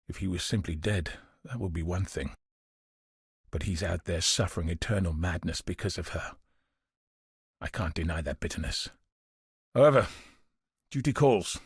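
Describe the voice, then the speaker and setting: An actor playing a weary, bitter but steadfast guard commander in a video game, speaking gravely and earnestly with a husky, raspy voice. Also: male voice, low-pitched, no echo, studio quality, full character voice. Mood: resolute, wry. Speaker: game character voice. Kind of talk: dramatic video game voice acting.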